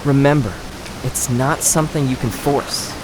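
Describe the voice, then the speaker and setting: A man playing an earnest mage who patiently coaches a pupil in an audio drama, speaking in a measured, instructive way. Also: medium pitch, fairly even intonation, lightly acted. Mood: patient coaching. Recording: noisy, with water sound effects behind. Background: river water ambience.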